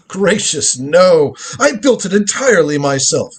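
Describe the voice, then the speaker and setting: A crotchety old inventor, acted by a man in a radio play, proud and imperious. The voice is high and husky, with theatrical swings in pitch as he lectures like a grand old grandfather. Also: big pitch swings, slow, heavily acted. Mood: proud, lecturing. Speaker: lead actor in a live script reading. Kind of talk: live reading of a radio drama script.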